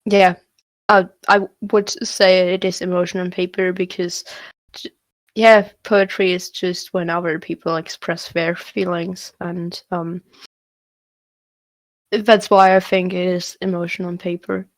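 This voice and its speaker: A woman's voice: fairly low pitch, level tone, a little slow, no room sound. A young woman who is not a native English speaker, answering questions hesitantly on a video call, with many fillers and restarts. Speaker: student poet answering questions.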